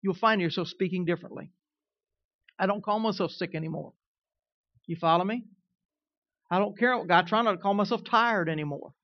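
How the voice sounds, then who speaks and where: High-voiced man preaching with a Southern twang. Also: moderate pace, long pauses. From Kansas. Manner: conversational preaching, often checks in with listeners. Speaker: pastor. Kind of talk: sermon. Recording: dull, dry recording.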